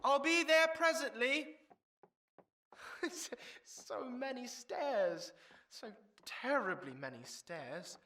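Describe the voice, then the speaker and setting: A man's voice: very high voice, animated, takes it slowly, bright, forceful tone, full character voice. A man playing an enthusiastic, kindly pine marten in a children's audio drama, exclaiming in a fussy, polite way. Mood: excited, flustered.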